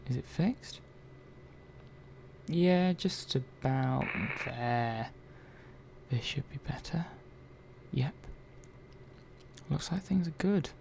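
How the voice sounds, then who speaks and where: Sci-fi ASMR technician, played by a man: creaky male voice, chatty and reassuring, hesitant rambling, faint noise behind. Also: fairly high pitch. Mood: focused, concerned. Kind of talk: ASMR roleplay, improvised one-to-one talk. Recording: faint background noise and ambience.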